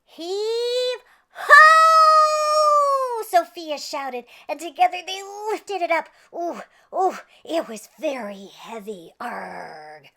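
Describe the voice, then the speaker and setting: A woman's voice: high-pitched, animated, slow pace, gravelly, hardly any pauses, darkish tone. A woman reading a children's story aloud in a playful, theatrical way with exaggerated emphasis. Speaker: children's storybook reader and host.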